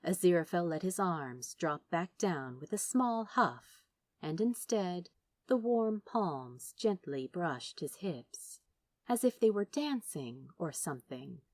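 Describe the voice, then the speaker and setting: Animated woman narrating a story. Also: lowish voice, takes it slowly, dry recording. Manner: expressive, dramatic storytelling. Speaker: audiobook narrator. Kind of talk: scripted fan fiction narration.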